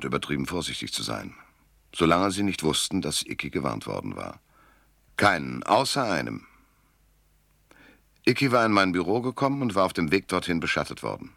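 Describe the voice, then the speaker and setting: Very deep, gravelly hard-boiled detective. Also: male voice, fairly bright tone, dry recording, exaggerated voice. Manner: laconic, dry, sardonic. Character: hard-boiled, world-weary male American private detective, narrating. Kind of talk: German radio drama, first-person noir narration.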